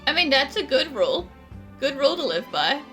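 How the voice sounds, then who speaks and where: Bright, teasing woman gamer. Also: high-pitched, sing-song, fairly quick, soft background music. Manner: teasing, blunt, laughs readily. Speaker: gaming streamer playing through a game. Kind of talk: casual reaction commentary.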